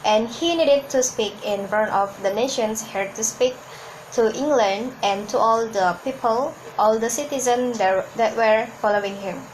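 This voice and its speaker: A woman from Hong Kong, a native Cantonese speaker, coaches viewers on confident speaking in an earnest, steady way, often restarting a word mid-phrase. Her voice sits a little high, with a faint hum of room noise behind her. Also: a little slow, poor audio. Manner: earnest, repeats and restarts words. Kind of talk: instructional talk to camera.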